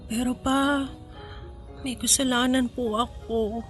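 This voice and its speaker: A distinctly high voice over prominent music gives us a vulnerable young Filipino daughter. She is emotional, loving and pleading, in the manner of radio drama. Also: little pitch movement, takes it slowly. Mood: distressed, confessing.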